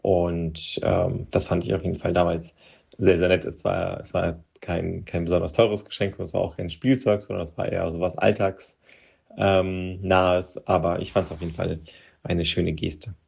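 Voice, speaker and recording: A man from Moldova whose first language is Russian, an interview guest talking hesitantly with many pauses and restarts. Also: low-pitched, little pitch movement, vocal fry, darkish tone, breathy edge. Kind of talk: personal interview account.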